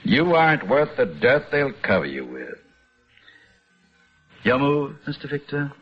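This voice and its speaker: An actor playing a sadistic, pompous chess-obsessed villain in an old-time radio drama, with mocking, precise, theatrical diction. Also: male voice, medium pitch, wildly swooping pitch, measured pace, husky, full character voice. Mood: mocking, gloating. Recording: muffled old radio recording with music.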